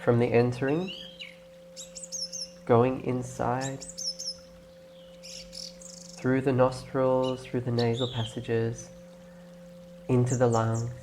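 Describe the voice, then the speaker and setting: A man leading a guided meditation in a gentle, instructing way, leaving long pauses between phrases. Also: medium pitch, level tone, noisy background. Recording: clear birdsong and nature ambience behind. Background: birdsong, nature ambience.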